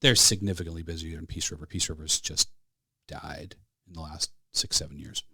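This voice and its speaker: Low-pitched Canadian man telling stories. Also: steady tone, fairly quick, bright, forceful tone, husky, dry recording, studio quality. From Alberta, Canada. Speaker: podcast guest, flight service specialist. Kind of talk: casual conversational storytelling. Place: podcast studio.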